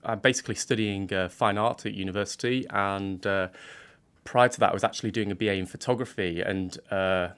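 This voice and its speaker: A man with a fairly low voice answering questions on the radio. He is earnest and thoughtful, and he backtracks and restarts often as he gathers his thoughts. He sounds sincere rather than polished. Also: steady tone, fairly bright tone, clear, resonant tone. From England. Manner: earnest, halting, many fillers and restarts. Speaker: guest, art student. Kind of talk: radio interview.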